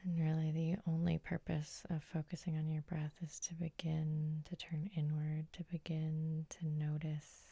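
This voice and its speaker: A woman hypnotist gently guiding sleep hypnosis in a soothing, unhurried, breathy ASMR whisper, close to the microphone. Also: low-pitched, monotone, no room sound. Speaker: hypnotist and host.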